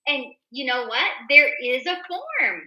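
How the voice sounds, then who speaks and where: A woman's voice: high-pitched, big pitch swings, a little slow, sharp tone. A woman co-hosting a casual talk show, reading items aloud and chatting emphatically straight to her listeners. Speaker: show host. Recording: roomy, echoey indoor sound.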